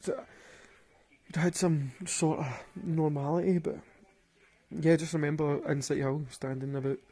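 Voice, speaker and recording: A man's voice: fairly high pitch, steady tone, unhurried, dark tone, slightly rough. A man with a Glaswegian Scottish accent, rambling and swearing through casual stories and laughing at his own jokes. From Glasgow, Scotland. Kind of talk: casual storytelling monologue.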